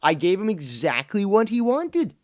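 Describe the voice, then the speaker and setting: A man's voice: high-pitched, huge pitch swings, normal speed. Combative profane man, first language Spanish. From Canada. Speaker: streamer host. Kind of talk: heated commentary on a clip.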